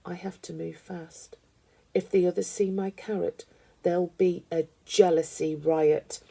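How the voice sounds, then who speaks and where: A woman reading a children's story aloud with animated, dramatic emphasis. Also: fairly low pitch, a little slow, creaky, slightly rough. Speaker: storybook reader.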